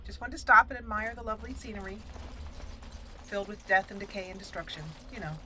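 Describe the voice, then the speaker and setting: A woman's voice: medium pitch, quick pace, bright, forceful tone, a little hiss or hum. A woman hosting a let's-play, chatting casually through her gameplay with giggles and self-mocking asides. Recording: game music behind the voice.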